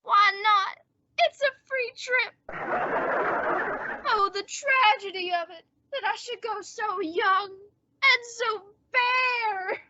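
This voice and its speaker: A woman playing a melodramatic, lovesick, boastful teenage boy in an old-time radio comedy, with drawn-out theatrical delivery. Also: very high voice, bright, forceful tone, heavily acted. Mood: mock-dying, self-pitying. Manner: drawn-out mock-dying groans and boyish bluster. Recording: dull, band-limited dry audio.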